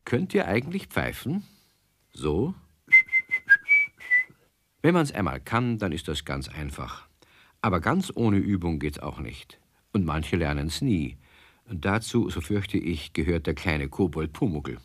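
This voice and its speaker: A genial storyteller narrates a children's tale. His voice is on the low side with animated intonation, conversational and wry, recorded dry. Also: measured pace, long pauses, no echo, heavily acted.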